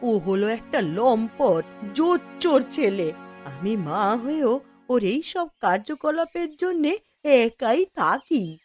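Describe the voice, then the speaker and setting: A woman's voice: a little high, big pitch swings, slow pace, a little mellow, faint music behind, exaggerated voice. A woman playing a kindly, frank elderly village mother in a cartoon folk tale, speaking in a familiar, admonishing way. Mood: frank, concerned.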